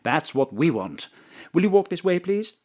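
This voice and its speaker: Suave government official, audio drama, discreet, courteous, faintly patronising, animated intonation. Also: male voice, on the high side, moderate pace. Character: smooth, discreet male government diplomat in fine tailoring.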